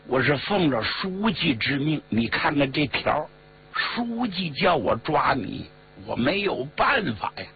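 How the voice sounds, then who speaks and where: A man voicing a reluctant, evasive soldier in a told story, halting and apologetic as he follows orders. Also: on the high side, big pitch swings, soft background music. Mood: helpless, evasive. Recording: muffled radio-like recording with mains hum.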